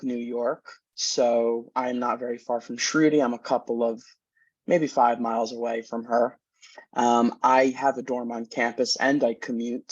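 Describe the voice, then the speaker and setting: A young man, a student panelist, introducing himself in a steady, matter-of-fact way on a video call. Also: mid-range voice, muffled recording. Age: young adult.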